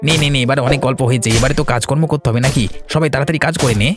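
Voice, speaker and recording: Cartoon farmer, young male, eager and companionable, voiced by an actor; quick delivery, mid pitch, clear music underneath. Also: lightly acted. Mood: urging. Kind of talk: cartoon story dialogue.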